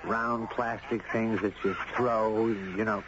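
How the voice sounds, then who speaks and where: A man's voice: lowish voice, little pitch movement, slow. An American actor playing a friendly, easygoing man with his dog in a 1970s radio drama, in a casual, conversational way. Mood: playful, easygoing. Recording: dull, noisy old radio recording.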